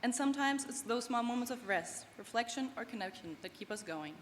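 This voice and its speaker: A woman's voice: fairly high pitch, expressive, a little fast. A young woman from Germany, speaking English as a second language, delivers an earnest, heartfelt graduation speech. Her voice rings with long reverb through a large hall, with faint background noise underneath. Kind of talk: prepared commencement speech.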